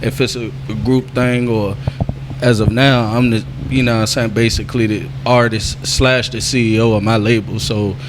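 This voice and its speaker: A man's voice: mid-range voice, fairly even intonation, normal speed, noisy background. A man in his twenties, a rapper and label owner, chatting casually in a rambling, laid-back way. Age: 20s. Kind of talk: casual chat.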